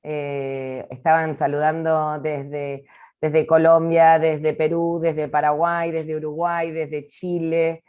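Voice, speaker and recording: Woman host opening a webinar, lowish voice, formal welcome and speaker intro. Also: little pitch movement, takes it slowly, a little mellow, clean tone, muffled recording. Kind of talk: formal welcome at an online event.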